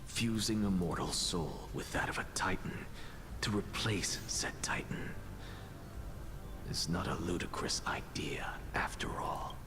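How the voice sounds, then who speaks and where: An actor playing a cerebral, theatrical scholar in a video game, musing in a measured, erudite, breathy inner monologue. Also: male voice, deep voice, in a whisper. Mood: absorbed, resolute. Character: cerebral, theatrical male scholar musing over his theories.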